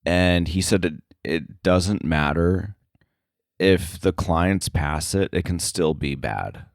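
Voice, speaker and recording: A man's voice: low-pitched, slow pace, no echo, clean studio sound. A man speaking casually as a podcast guest, a sound effects editor with a self-deprecating, chuckling way of talking. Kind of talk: casual interview conversation.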